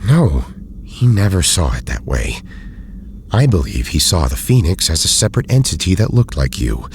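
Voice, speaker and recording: Very deep, erudite hero scientist. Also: male voice, big pitch swings, gravelly, a little hiss or hum, heavily acted. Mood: solemn, probing. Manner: formal, deliberate phrasing. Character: erudite, measured male scientist hero, weary but loyal. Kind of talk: audio drama acting.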